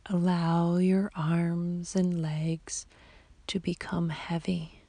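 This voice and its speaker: A woman guiding a sleep meditation as a yoga nidra teacher, in a soft, whispery, soothing voice with long, unhurried pauses. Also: lowish voice, flat delivery, no room sound. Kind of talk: slow guided sleep meditation.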